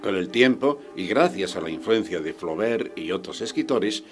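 Low-voiced, expressive male radio announcer. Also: normal speed. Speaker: announcer of the radio theatre show. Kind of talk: scripted radio presentation. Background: music.

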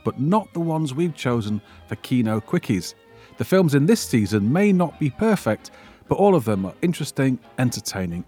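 A man's voice: mid-range voice, darkish tone, slightly rough. A man hosting a podcast and film event with wry, self-deprecating humour. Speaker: podcast host.

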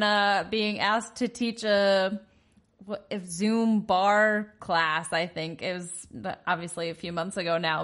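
A woman's voice: on the low side, fairly bright tone. A woman hosting a conversational interview, asking questions and laughing often. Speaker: host.

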